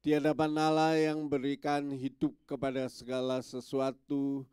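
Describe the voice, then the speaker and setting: A man's voice: mid-range voice, monotone, moderate pace, darkish tone, smooth, no room sound, studio quality. A male pastor preaching to his congregation, his voice stuffy and nasal from a cold, with many small filler yeses between phrases. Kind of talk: sermon. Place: church.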